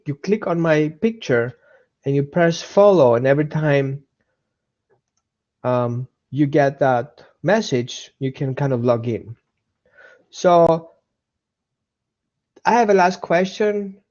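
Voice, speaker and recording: A man's voice: on the high side, warm tone, highs cut off. A man with an Austrian accent hosting a casual live dog-training webinar, chatting with viewers, repeating himself and greeting people by name.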